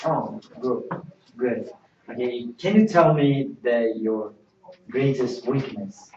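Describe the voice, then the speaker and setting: A man's voice: medium pitch, slow, a little mellow, a little hiss or hum. A man playing an interviewer in a mock job interview, asking simple questions in non-native English. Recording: distant, roomy, dull lo-fi sound.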